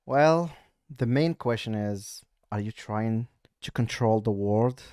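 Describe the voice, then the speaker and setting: A man with a Moroccan accent, live-streaming a casual, teasing chat, halting now and then with fillers. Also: lowish voice, a little mellow, a little hiss or hum.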